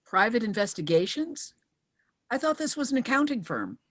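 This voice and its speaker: Lively higher-voiced widowed mother read by a woman. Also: moderate pace, dry recording, studio quality. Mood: wary, subdued. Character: recently widowed middle-aged mother, anxious but composed. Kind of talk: screenplay table read.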